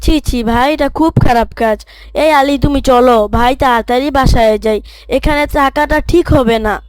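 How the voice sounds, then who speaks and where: A woman voicing a pious, well-behaved young boy in a children's moral cartoon, earnest, polite and gently scolding. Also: sits high, normal speed, clean tone, no room sound, natural voice. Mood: dismayed, scolding.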